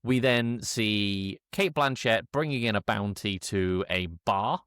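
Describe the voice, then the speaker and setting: A man recapping a film casually as a podcast co-host, halting and correcting himself, with an irreverent edge. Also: lowish voice, a bit bright, smooth, no echo, clean studio sound. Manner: halting, hesitant, self-correcting delivery.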